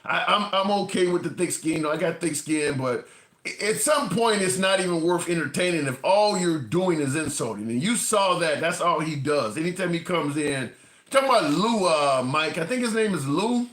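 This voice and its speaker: A man hosting a casual political chat and talking in a blunt, profane, animated way. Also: fairly high pitch, normal speed, echoey room. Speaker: political commentator host.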